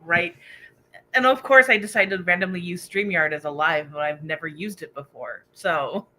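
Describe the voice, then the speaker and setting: A woman hosting a casual book livestream, excitable and chatty as she reacts to viewer comments. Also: lowish voice, normal speed. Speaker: book discussion livestream host.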